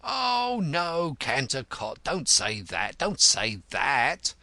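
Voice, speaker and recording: A man's voice: mid-range voice, wildly swooping pitch, measured pace, bright, forceful tone, dry recording, exaggerated voice. A man voicing a meek, kindly little male cobbler in an audiobook, anxious and placating. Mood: pleading, anxious. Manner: placating, pleading. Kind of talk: audiobook character voice.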